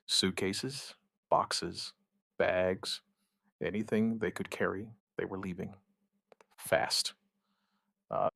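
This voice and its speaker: An actor playing a shaken outbreak survivor, giving his account in halting, somber, dramatic narration with short deliberate phrases. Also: deep voice, creaky, breathy edge, no echo, clean studio sound, exaggerated voice. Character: shaken male outbreak survivor recounting events, dryly stubborn. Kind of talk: dramatic first-person scripted narration, witness account.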